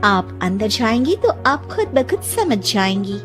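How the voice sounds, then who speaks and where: A woman voicing a polite, flattering young saleswoman who coaxes her customer in a dramatized story. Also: on the high side, unhurried. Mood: eager, inviting. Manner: polite, coaxing. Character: smooth, flattering young saleswoman in a makeover shop. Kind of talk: dramatized Hindi moral story. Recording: music behind the voice. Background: music.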